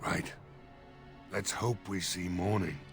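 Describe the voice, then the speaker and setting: A gruff, weary militia leader in a fantasy game. His voice sits fairly low and he sounds grim and grudging, with faint music underneath. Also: fairly even intonation, takes it slowly. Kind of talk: video game dialogue acting.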